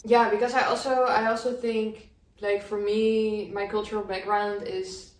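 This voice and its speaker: A Dutch woman in her twenties, co-hosting a casual podcast chat, hesitant and quick to laugh. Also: mid-range voice, flat delivery. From Netherlands. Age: 20s. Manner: laughing, hesitant, with many "um" and "yeah". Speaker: podcast co-host. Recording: echoey room, mic at a distance.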